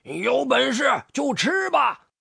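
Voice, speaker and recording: A storyteller voicing a kindly old grandfather toad, brave and wily, with a husky voice. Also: mid-range voice, huge pitch swings, slow, fairly bright tone, no room sound, clean studio sound, voice pushed up, heavily acted. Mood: fierce, defiant. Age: older.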